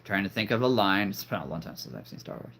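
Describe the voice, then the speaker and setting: A man's voice: sits low, sing-song, fast talker, creaky, background noise. A man hosting a live stream, rambling casually and making cheesy jokes to his viewers. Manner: rambling, jokey, punning.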